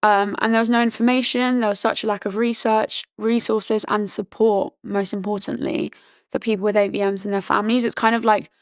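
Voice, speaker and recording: A woman in her early twenties from Britain, chatting casually as a podcast guest, full of "like" fillers and restarts, her voice slightly hoarse. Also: medium pitch, little pitch movement, a little fast, dry recording. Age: early 20s. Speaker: podcast guest, charity founder. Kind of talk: casual interview chat.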